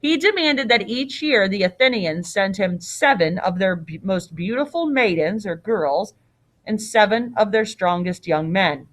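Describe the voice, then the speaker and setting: A woman's voice: medium pitch, lively intonation, a little slow. A woman reading a story aloud as a teacher, expressively, with casual explanatory asides.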